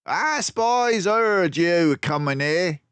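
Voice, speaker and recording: A man voicing a menacing mouse gangster in a storybook, taunting in rough slang. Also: high-pitched, lively intonation, takes it slowly, fairly bright tone, no echo, voice pushed up, exaggerated voice. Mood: threatening. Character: menacing, jeering male mouse gangster villain.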